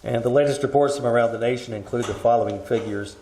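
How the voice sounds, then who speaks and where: A man playing a grave radio newscaster who reads emergency bulletins in a formal, measured way. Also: mid-range voice, warm tone, a little hiss or hum, natural voice. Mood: grave, urgent. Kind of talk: radio news bulletin acting. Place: live staged performance at a winery. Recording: roomy live stage performance.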